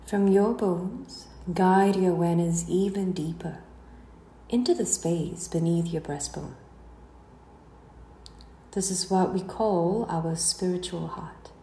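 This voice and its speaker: A woman guiding a meditation in a slow, soothing delivery, letting the ends of her phrases trail away softly. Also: fairly low pitch. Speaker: guided meditation instructor. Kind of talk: slow guided relaxation narration. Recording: roomy echo, faint background noise.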